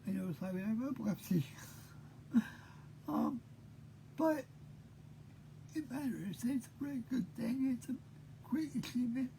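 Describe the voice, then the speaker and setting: A man in his fifties hosting an unscripted monologue, talking haltingly with many pauses, tired and short of breath. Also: very high-pitched, lively intonation, vocal fry, mellow, a little hiss or hum, low-quality recording. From Maine. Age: 50s. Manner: halting, full of pauses and fillers. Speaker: host.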